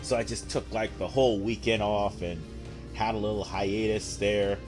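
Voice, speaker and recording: A young man with a hoarse voice from a cold, giving rambling, laid-back commentary while he plays a game. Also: fairly low pitch, level tone, moderate pace. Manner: rambling, laid-back, frequent fillers and throat clearing. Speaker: gameplay commentator. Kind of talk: casual let's play commentary. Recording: game music playing behind.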